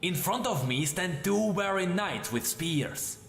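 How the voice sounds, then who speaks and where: A man's voice: high-pitched, lively intonation, vocal fry, a bit bright, faint music behind, full character voice. Man voicing an anguished warrior spirit trapped in a blade, echoing. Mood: predatory, urgent. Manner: haunted, halting, short dramatic phrases.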